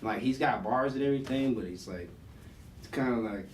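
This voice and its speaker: A man who is a guest rapper, talking loosely and rambling, with lots of fillers and slang. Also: a little fast. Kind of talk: casual conversation. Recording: echoey room, distant mic, faint rumble.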